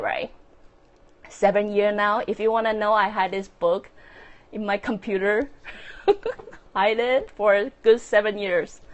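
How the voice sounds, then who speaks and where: A woman with a Chinese accent, speaking English as a second language, hosting a casual, earnest solo talk with many fillers and soft laughs. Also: mid-range voice. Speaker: podcast host and author.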